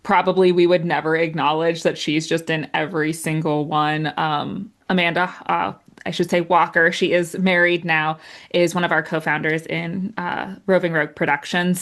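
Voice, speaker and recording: A woman's voice: fairly low pitch, fairly bright tone. A woman chatting casually as a guest writer in an interview, with a self-deprecating, joking manner. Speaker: audio drama writer and guest.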